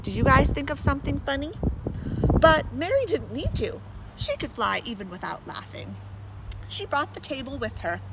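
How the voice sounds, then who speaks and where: A woman's voice: high-pitched, big pitch swings, normal speed. A woman reading a children's book aloud expressively, with chatty asides and questions to young listeners, recorded outdoors. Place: outdoors in blustery wind. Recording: very noisy, windy outdoor recording with traffic. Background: wind, urban ambience, vehicles.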